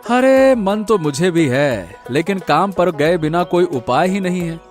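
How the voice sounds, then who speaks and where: A kindly young village father in a cartoon, played by a man. His voice is fairly high and swings about expressively, easygoing and affectionate in manner. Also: lively intonation, unhurried, faint music behind. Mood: gentle, dutiful. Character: kind, doting village husband and young father. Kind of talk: Hindi cartoon moral story acting.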